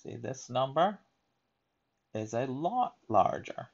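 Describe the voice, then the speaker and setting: Guy reading a kids book out loud like a teacher, real slow n careful, bit gravelly, kinda muffled recording. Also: mid-range voice, sing-song. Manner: deliberate, emphatic, explaining to young learners. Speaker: read-aloud teacher. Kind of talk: children's picture book read-aloud with explanatory asides.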